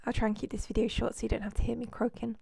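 A woman with a cold, hoarse and losing her voice, chatting casually as she shows off characters in a life-sim game. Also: fairly high pitch, little pitch movement, very fast, darkish tone, no room sound, studio quality. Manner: chatty, rambling with fillers. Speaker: let's play host.

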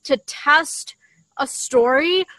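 A woman's voice: high voice, very slow, sharp tone, no room sound. A young woman co-hosting a podcast in casual conversation, speaking with enthusiasm into a shared desktop mic. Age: young adult. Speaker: podcast co-host. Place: outdoors in a leafy garden. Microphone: desktop condenser mic shared between two.

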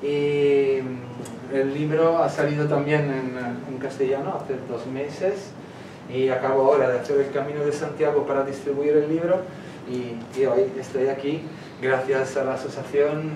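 A man's voice: medium pitch, steady tone, moderate pace. A man in his forties with an Italian accent, giving a talk in Spanish that is not his first language, gesturing and searching for words now and then. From Italy. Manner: gestures while talking, hesitant, searches for words. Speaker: guest speaker. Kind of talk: public lecture. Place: small lecture room in a civic centre. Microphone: far camera, no mic. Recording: echoey room from far off, noisy.

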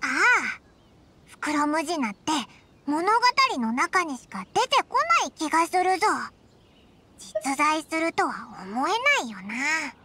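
A woman voicing a small, childlike fairy companion in a video game, squeaky and expressive, with blunt, boyish phrasing. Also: unhurried, slight background noise, exaggerated voice. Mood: doubtful, explaining. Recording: background music under the voice.

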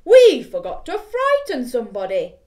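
A woman voicing a big, jolly, slow-witted skeleton in a children's storybook, loud and drawn-out. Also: high-pitched, wildly swooping pitch, voice pushed up. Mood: pondering.

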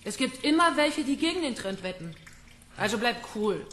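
A woman's voice: on the high side, sing-song, normal speed, a bit bright, slight background noise. An actress playing a cold, vengeful female kidnapper in a crime radio drama, mocking and menacing. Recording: echoey room.

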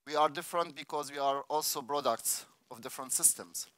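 Bright-voiced man from Palestine. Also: fairly high pitch, brisk, no room sound. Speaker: keynote speaker. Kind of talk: conference talk. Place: large theater stage.

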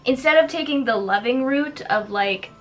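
A woman's voice: high voice, normal speed. A young woman from Canada hosting her own channel, chatting casually and upbeat with lots of filler words while doing her makeup in a decorated bedroom. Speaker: YouTube host.

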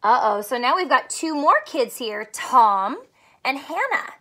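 A young woman teacher reading aloud to small children and asking them simple questions in an animated way. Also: high-pitched, unhurried. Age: young adult. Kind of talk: children's read-aloud with a math lesson. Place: small furnished room indoors.

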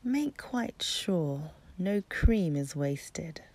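A woman narrates a children's storybook with very lively intonation. Her pace is unhurried and deliberate, and there is noticeable fry in her voice. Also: lowish voice.

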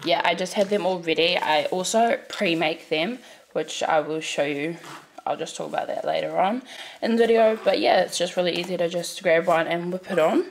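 Croaky young woman vlogging at home. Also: fairly low pitch, moderate pace, a little hiss or hum. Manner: chatty, rambling, lots of "um" and "so". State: croaky from a cold. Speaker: parenting vlogger. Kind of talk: casual vlog talk to camera. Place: bright open-plan living room and kitchen. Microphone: handheld camera at arm's length. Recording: echoey room.

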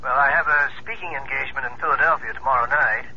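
A man's voice: mid-range voice, fast. A man playing a formal, businesslike psychic investigator through a telephone filter, in vintage radio drama. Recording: thin, noisy telephone line on radio.

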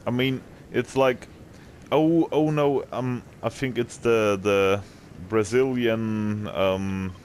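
A man's voice: fairly low pitch, fairly even intonation, takes it slowly, a little mellow, slight background noise. A young man from Austria with an Austrian accent, chatting and joking while playing an online game. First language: German. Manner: chatty, joking, laughs often. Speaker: gamer. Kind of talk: casual chat while gaming.

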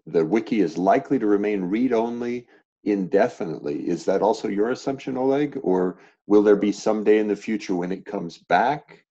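A man's voice: lowish voice, moderate pace, warm tone, husky. A man taking part in an online meetup, asking questions in a polite, conversational way over a video call. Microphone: video call microphone. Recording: dull, muffled lo-fi online call.

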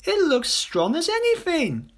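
Excitable storybook schoolkid, male reader, pitched well up, eager and exclaiming. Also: a little slow, full character voice. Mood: curious, eager. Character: excited, eager young schoolchildren and small boy. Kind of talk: children's storybook character voice.

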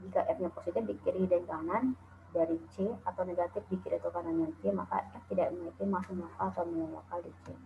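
A woman's voice: fairly low pitch, steady tone, fast talker, vocal fry, a little hiss or hum. A woman from Indonesia giving a recorded calculus lecture over slides, explaining step by step with frequent "eh" and "nah". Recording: tinny phone-like lo-fi audio.

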